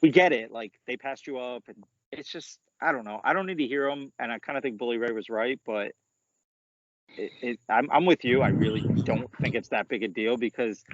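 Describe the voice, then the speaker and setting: A man's voice: medium pitch, fairly quick, no room sound, dull audio. A man co-hosting a casual wrestling podcast, talking loosely, swearing and filling with "like.". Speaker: podcast co-host.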